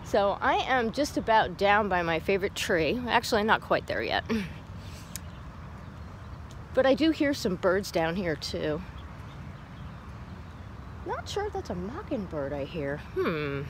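A woman's voice: mid-range voice, sing-song, measured pace, pauses often, slight background noise. A middle-aged woman chatting cheerfully on a live walking vlog, laughing often, recorded outdoors on a phone held at arm's length. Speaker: vlogger. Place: outdoors on a path in a park.

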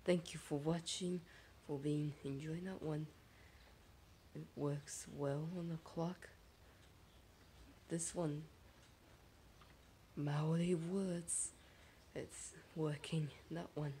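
A woman with a low, soft-edged, dark voice presents a casual unboxing video at home. She speaks in short, broken phrases with halting delivery. There is faint noise in the room behind her. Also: steady tone. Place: carpeted room at home, indoors.

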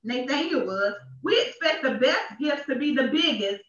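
A woman's voice: high-pitched, animated, moderate pace, fairly bright tone, distant mic, a little hiss or hum. A woman minister delivering a sermon in an emphatic way, repeating phrases for effect, in a small church. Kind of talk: sermon read with deliberate emphasis. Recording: echoey, dull church livestream from off.

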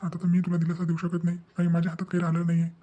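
A man acts out an exasperated young man in a cartoon family drama. He rattles on quickly in a mellow, little-varying voice. He is fretful and disheartened, often repeating himself. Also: high voice, monotone. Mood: dejected. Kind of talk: cartoon comedy-drama dialogue.

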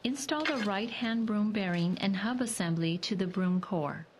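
A woman reading scripted step-by-step repair instructions as a voice-over, in a measured, even way. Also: medium pitch, noisy background. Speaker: instructional narrator.

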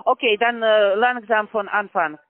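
A man working as a call-center scammer on a phone call, speaking halting German with non-native grammar and repeating each digit back. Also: high-pitched, fairly even intonation, no echo. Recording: tinny phone line.

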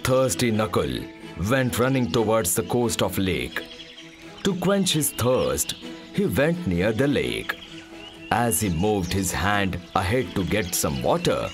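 A man's voice: fairly low pitch, very animated, takes it slowly, over music. A man narrating a mythological story in a scripted storytelling style.

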